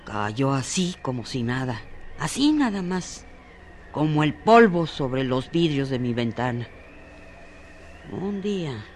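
A woman's voice: extremely low pitch, a little hiss or hum, exaggerated voice. A woman playing a bitter, ailing, ageing woman in a radio drama, theatrical and venomous.

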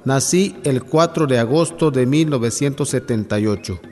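A man in his forties from Tabasco, Mexico, whose first language is Yokot'an, reading his poetry in slow, measured recitation. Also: medium pitch, a little mellow. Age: 40s. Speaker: poet. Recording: long reverb with faint music underneath.

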